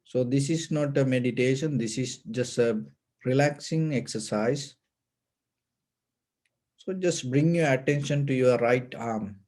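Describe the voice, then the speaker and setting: A man leads a guided meditation with a dark, mellow tone. His delivery is calm and gentle, giving each instruction deliberately, one step at a time. The voice sits at an ordinary male pitch. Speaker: meditation teacher, Buddhist guide.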